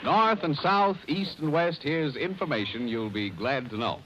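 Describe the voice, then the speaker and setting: A man's voice: on the high side, animated, measured pace, a loud crowd, heavy background noise. A man announcing and introducing acts on a 1930s radio variety show. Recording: dull vintage radio recording, loud music.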